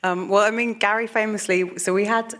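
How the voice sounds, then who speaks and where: A woman podcast co-host answering on a live panel, chatty and laughing, with quick asides. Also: medium pitch, fairly even intonation, fairly bright tone. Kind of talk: casual live panel talk. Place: live event stage.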